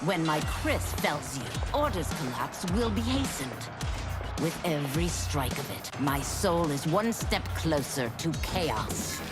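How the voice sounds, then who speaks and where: A man's voice: a little high, big pitch swings, slow pace. An actor playing a fanatical male chaos-worshipping warrior, solemn and fervent, in a video game. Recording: game mix with loud music.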